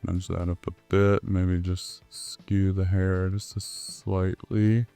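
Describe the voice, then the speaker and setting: Hoarse male tutor, low and unhurried, easygoing, with filler words. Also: faint music behind. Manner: relaxed, with many ums and uhs. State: hoarse, recovering from flu. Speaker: animator. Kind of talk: screen-recorded tutorial walkthrough.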